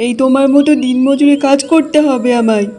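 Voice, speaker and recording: A woman's voice: high voice, a little fast, mellow, soft background music, lightly acted. A woman voicing an impatient ten-year-old boy in a cartoon story, complaining and insistent. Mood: anxious complaining. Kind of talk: Bengali cartoon story voice acting.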